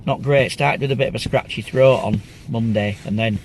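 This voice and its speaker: A man's voice: medium pitch, moderate pace. A man chatting casually while he drives, rambling with lots of ums, and bunged up with a cold. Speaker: vlogger. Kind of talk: casual driving commentary. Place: inside a car. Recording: loud road noise.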